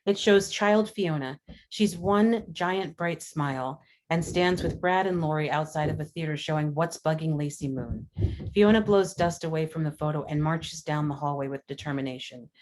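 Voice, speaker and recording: Low-pitched woman reading screenplay directions. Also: normal speed, a little mellow, low-quality recording. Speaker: reader of stage directions. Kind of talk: scripted screenplay narration.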